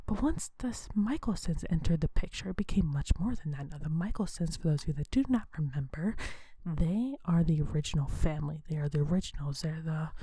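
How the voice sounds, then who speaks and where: A woman hosting an ASMR video in a soft, breathy whisper, talking in a hushed, unhurried, conversational way. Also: low-pitched, no room sound.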